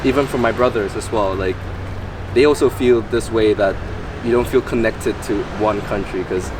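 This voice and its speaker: A man's voice: on the low side, normal speed. A young man from Malaysia answering interview questions in loose run-on sentences full of "like". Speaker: beatboxer guest. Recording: distant mic, street noise.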